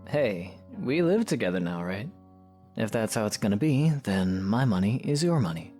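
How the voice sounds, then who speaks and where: A man's voice: on the low side, sing-song, normal speed, dark tone. A man playing a kind, easygoing young adventurer with a casual, reassuring manner in a fan audio drama. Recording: music under the voice.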